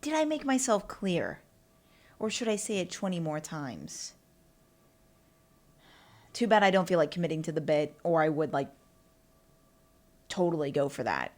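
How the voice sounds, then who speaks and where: A woman's voice: low-pitched, big pitch swings, normal speed, pauses often, darkish tone. A young woman hosting her own podcast and rambling casually on her own, with lots of fillers and asides. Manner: rambling and chatty, with lots of fillers and self-talk. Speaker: podcast host. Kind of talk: casual solo podcast monologue.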